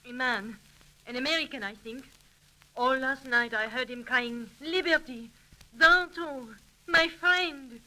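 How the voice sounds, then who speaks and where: An actress playing a resigned, dignified French marquise awaiting execution in 1930s radio drama style. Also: female voice, high voice, slow pace, pushed and bright, heavily acted, low-quality recording. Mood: haunted, earnest. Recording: vintage 1930s radio, sizzling surface noise.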